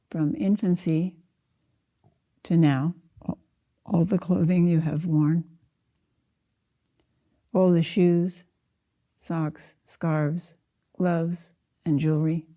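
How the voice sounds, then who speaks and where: Older woman, very dark mellow low voice. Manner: calm, unhurried, measured, with spaced-out phrases. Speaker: Zen teacher leading a guided meditation. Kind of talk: guided meditation.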